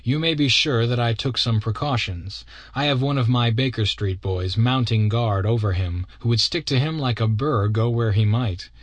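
A man voicing a confident, composed detective who speaks in a crisp, assured way. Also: dry recording, close to an everyday voice. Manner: crisp, assured, quicker and higher than the narration. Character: brisk, confident male detective, sharp and composed. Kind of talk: audiobook character reading.

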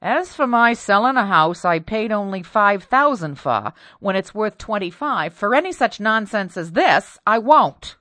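A woman voicing a stubborn, resentful husband who speaks loudly and bluntly in exasperation. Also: lowish voice, animated, moderate pace, no echo. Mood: exasperated.

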